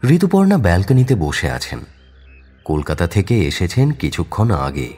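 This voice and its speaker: Deep-voiced, expressive male story narrator. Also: moderate pace, breathy edge, soft background music. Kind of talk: dramatic scripted audio story narration.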